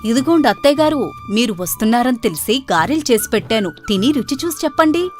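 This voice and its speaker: Grasping young housewife acted by a woman, higher-pitched, animated and pushy, for a moral-story cartoon. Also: fast talker, faint music behind, close to an everyday voice. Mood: sugary welcome. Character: gushing young daughter-in-law who turns grasping.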